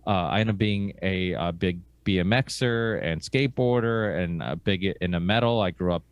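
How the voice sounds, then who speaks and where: A man born in Portugal, hosting a podcast and telling stories in a relaxed, rambling way. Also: low-pitched, normal speed, clear, resonant tone, no room sound, highs cut off. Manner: rambling, relaxed storytelling with fillers. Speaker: podcast host. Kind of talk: casual conversation.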